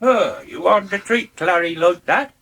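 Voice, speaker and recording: A man playing a meddlesome older countryman in a radio soap, with a rural English accent and a husky voice. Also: high-pitched, animated, a little slow, sharp tone, heavily acted. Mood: mildly reproving. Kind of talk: naturalistic radio soap acting.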